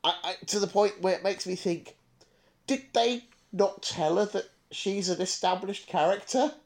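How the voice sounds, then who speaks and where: A man's voice: high-pitched, moderate pace. A man co-hosting a casual podcast discussion, giving opinions with frequent stammering restarts. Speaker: podcast co-host.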